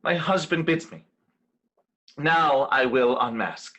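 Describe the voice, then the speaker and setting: Man playing a resolute wronged woman, slow and bright. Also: medium pitch. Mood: resolute, accusing. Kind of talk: staged reading of Shakespeare.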